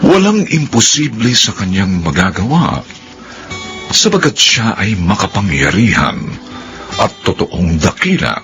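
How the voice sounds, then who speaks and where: A man's voice: on the low side, huge pitch swings, unhurried, fairly bright tone, faint music behind. A man reading solemn scripted narration and credits as the announcer of a radio drama, in a dramatic manner.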